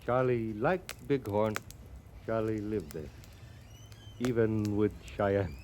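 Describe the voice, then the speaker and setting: An actor playing a cheerful, guileless Crow scout and horse thief in a 1950s radio western, speaking halting broken English and calling himself by name. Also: male voice, fairly low pitch, a little mellow. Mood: proud, cheerful. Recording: old radio recording with background noise.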